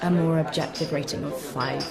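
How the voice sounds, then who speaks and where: A woman's voice: low-pitched, fairly even intonation, moderate pace, over a noisy crowd. A woman playing a self-assured, insistent young woman in a comic radio play, with a precise, methodical way of questioning. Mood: probing, pedantic. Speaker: actor in a short comedy play. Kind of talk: comic two-hander radio drama dialogue.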